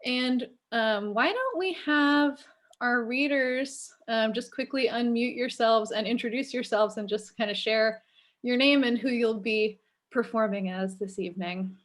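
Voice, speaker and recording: A woman hosting an online community event with an informal welcome, speaking in a somewhat halting way. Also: fairly high pitch. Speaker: host, assistant library director.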